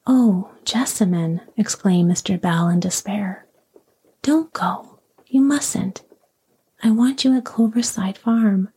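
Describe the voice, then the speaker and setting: A woman reading a bedtime story in a slow, soothing, breathy whisper to help the listener fall asleep. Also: mid-range voice, dark tone. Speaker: sleep story narrator.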